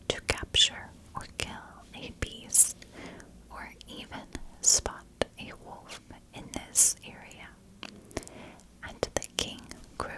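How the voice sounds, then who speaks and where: A woman tells a story in a soft ASMR whisper, with no voiced tone at all. Her delivery is very slow and deliberate, breaking the narration into short, measured phrases. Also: low-quality recording. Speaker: ASMR storyteller, channel host.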